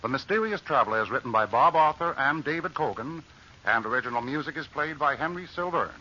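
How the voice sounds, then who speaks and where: A man's voice: medium pitch, expressive, fast talker, bright, forceful tone. A man announcing a 1940s radio show in a formal, declamatory scripted style, on a muffled old recording.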